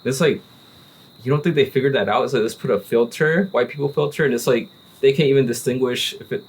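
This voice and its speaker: A man hosting a casual cigar podcast, telling a story in an animated way and peppering his talk with "like" and "right?". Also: medium pitch, fast, breathy edge, rough recording. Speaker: podcast host. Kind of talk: casual chat. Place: relaxed cigar-smoking hangout with friends.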